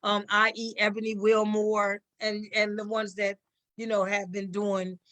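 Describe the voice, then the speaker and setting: Female guest, bright forceful tone, middle pitch, conversational and meandering. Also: flat delivery, a little slow. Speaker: stage actor, interview guest. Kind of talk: conversational interview answers.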